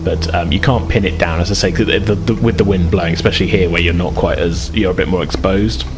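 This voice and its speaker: Guy game master, lowish voice, laid back, lots of uhs, music going behind. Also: fast. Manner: relaxed, frequent "uh" fillers. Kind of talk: casual podcast intro and game narration.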